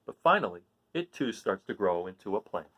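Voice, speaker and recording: A man reading a children's picture book aloud, with dramatic, drawn-out emphasis on key words. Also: fairly low pitch, big pitch swings, a bit bright. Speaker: storybook reader reading aloud.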